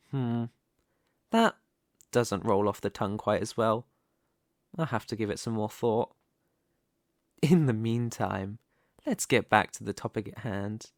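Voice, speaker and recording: A man acts out a possessive mafia boss in an intimate audio roleplay. He speaks slowly and deliberately, taunting with silky menace and smug control. The sound is close and very dry. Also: medium pitch, no room sound. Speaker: ASMR roleplay voice performer. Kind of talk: dramatic ASMR roleplay monologue.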